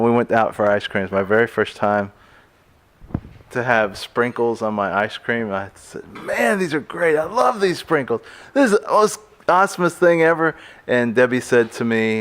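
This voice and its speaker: A man's voice: medium pitch, expressive, moderate pace, fairly bright tone, slight background noise. A man telling casual stories in an interview, playful and mock-dramatic in his delivery.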